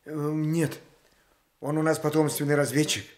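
A man's voice: fairly high pitch, little pitch movement, normal speed, natural voice. An actor playing an eager, jovial husband and father in a radio play, informal and coaxing. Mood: jovial, polite. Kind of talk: Soviet radio play acting.

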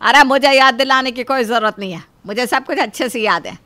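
Woman as indignant, high-voiced mother-in-law. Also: brisk, pushed and bright, faint music behind. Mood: defensive. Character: older mother-in-law, indignant, defensive, sly. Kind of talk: Hindi cartoon family drama dubbing.

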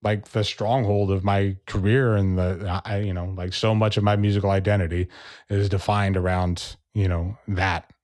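A man speaking as a podcast guest, a relaxed storyteller in casual interview chat. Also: fairly low pitch, normal speed. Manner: relaxed storyteller, acts out quoted people. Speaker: percussionist and educator, podcast guest.

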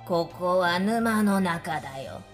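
Slow, gloating old swamp witch. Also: fairly low pitch, expressive, faint music behind. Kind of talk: radio drama acting.

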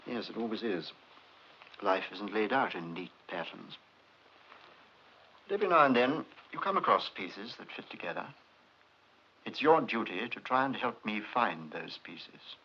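Lively, sly British investigator. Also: male voice, lowish voice, measured pace, long pauses, slightly rough, heavily acted. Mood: earnest, pressing. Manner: feigned mildness, polite, insistent questioning. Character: probing, sly, urbane male investigator posing as chatty acquaintance. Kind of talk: 1950s British film acting. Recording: dull, muffled 1950s film soundtrack.

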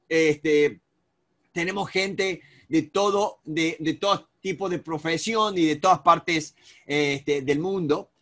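A man's voice: sits high, sharp tone. A man in his forties with an Argentine accent, a negotiation coach giving a live online webinar from a home office, rambling with many restarts and fillers over a webcam. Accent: Argentine Spanish. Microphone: video call webcam.

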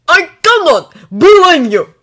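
A woman's voice: sits low, normal speed, voice pushed down. A woman voicing a giant male dragon in an audio story, proud and emotional, with grand formal speech. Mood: panicked, upset.

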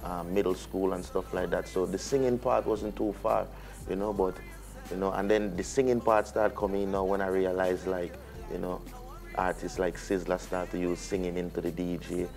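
A man's voice: low voice, normal speed, vocal fry, soft background music. A man in his thirties from the US Virgin Islands with a Jamaican-tinged Caribbean accent, chatting loosely in a TV studio interview with many fillers. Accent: Caribbean English, Jamaican-influenced. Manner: relaxed, hesitant, many fillers. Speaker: reggae artist guest. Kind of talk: casual interview chat. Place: TV studio set.

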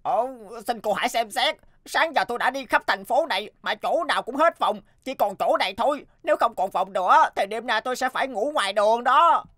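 Earnest cartoon man, lively pitch. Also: a little fast, hardly any pauses, no room sound, clean studio sound. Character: earnest, easygoing cartoon man, hotel guest. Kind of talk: comic cartoon dubbing. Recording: music behind the voice.

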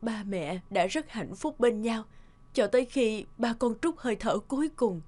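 A tender mother from an animated family drama. Her voice sits on the high side and she speaks softly and sentimentally, like someone confiding a long-held regret to her son. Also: measured pace. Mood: tender, earnest.

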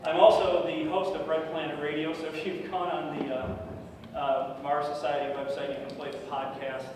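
Loud chatty man speaking in an echoey hall. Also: fairly high pitch, flat delivery, moderate pace. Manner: loud, chatty, with many fillers. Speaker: conference speaker. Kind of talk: casual conference talk. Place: large conference room with projector. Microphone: podium microphone from far off. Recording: echoey room, distant mic, noisy.

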